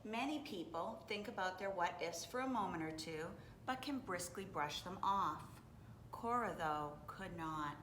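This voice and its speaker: A woman's voice: expressive, fairly bright tone. Echoey woman reading a children's story aloud. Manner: deliberate, dramatic phrasing. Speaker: storybook reader. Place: indoors, library. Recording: echoey room with faint rumbling noise.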